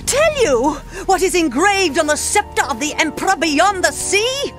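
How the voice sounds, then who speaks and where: A woman's voice: extremely high pitch, big pitch swings, moderate pace, fairly bright tone, soft background music, full character voice. An actress playing a cold, menacing, haughty witch queen in a theatrical radio drama. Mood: scornful, menacing.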